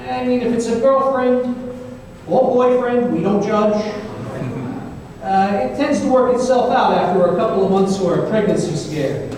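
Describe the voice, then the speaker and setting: A man's voice: high-pitched, normal speed, darkish tone, lightly acted. A man playing a wry, world-weary private eye, telling his case in old-time radio style with a folksy, hesitant delivery. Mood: businesslike. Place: live stage performance in an echoey room. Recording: echoey hall, distant mic, faint noise.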